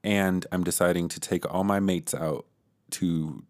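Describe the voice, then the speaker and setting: A man's voice: deep voice, steady tone, unhurried, darkish tone. A young man co-hosting a podcast in casual chat, dry and joking, often laughing. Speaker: podcast co-host.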